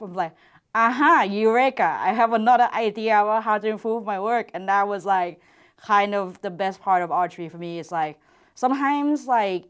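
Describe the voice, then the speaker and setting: A woman in her twenties from Thailand, answering interview questions about her sport in an earnest, reflective way with hesitant pauses. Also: medium pitch. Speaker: archer and university PhD student.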